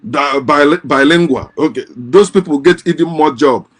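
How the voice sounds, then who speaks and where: Impassioned man, fairly high voice. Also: moderate pace. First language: Igbo. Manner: impassioned, repeats and restarts phrases. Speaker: host. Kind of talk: casual monologue.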